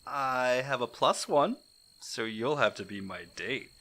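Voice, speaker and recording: A man's voice: mid-range voice, expressive, unhurried, sharp tone, big-room sound. A man playing a cocky, flirtatious wisecracking operative in an audio drama, with a glib, playful manner. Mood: teasing.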